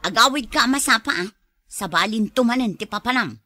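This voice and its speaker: A woman plays a hurt, suspicious wife in an Ilocano radio drama. Her voice is fairly high, sharp and plaintive, with an Ilocano Filipino accent, in a dry recording. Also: expressive, fairly quick, no room sound. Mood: wary, reproachful.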